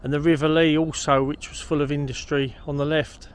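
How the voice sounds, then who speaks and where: Higher-voiced middle-aged man outdoors. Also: little pitch movement, a little fast, clear, resonant tone. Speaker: walking vlogger. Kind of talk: casual walk-and-talk to camera. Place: outdoors on canal paths and country trails. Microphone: handheld camera at arm's length.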